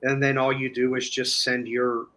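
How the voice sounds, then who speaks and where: A man speaking as a guest in a casual chat, explaining step by step in a halting way. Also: mid-range voice, level tone, sharp tone. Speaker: guest, online video creator.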